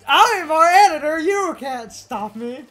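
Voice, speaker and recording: A young woman co-hosting a let's play with casual, animated gaming commentary. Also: high voice, measured pace. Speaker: let's play co-host and editor. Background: video game music.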